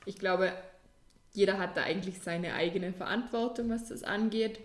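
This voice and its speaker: Woman co-hosting a podcast, measured and thoughtful, weighing her words with the odd filler. Her voice sits at a middle pitch and carries a noticeable roomy echo. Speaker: podcast co-host. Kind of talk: casual chat.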